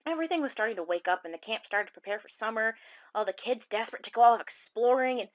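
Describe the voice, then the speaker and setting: A woman's voice: fairly high pitch, animated, quick pace, close to an everyday voice. A woman playing a self-conscious, rueful caller who tells her eerie story in a confiding, slightly rambling way. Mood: wistful, embarrassed. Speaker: caller on a horror radio show. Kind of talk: conversational dramatic monologue.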